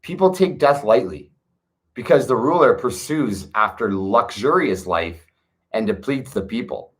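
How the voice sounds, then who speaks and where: A man slowly reading an old philosophical text aloud in a measured, pausing way, stopping now and then to add casual remarks of his own. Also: mid-range voice, expressive, fairly bright tone. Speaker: reader of a classic text. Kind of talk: slow reflective reading with asides.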